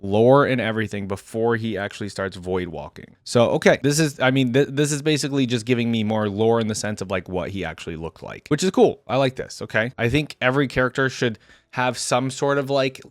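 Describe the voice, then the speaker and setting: A man's voice: medium pitch, brisk, no echo, studio quality. A man hosting a video game reaction, talking informally and conversationally as he thinks aloud. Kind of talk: casual reaction commentary.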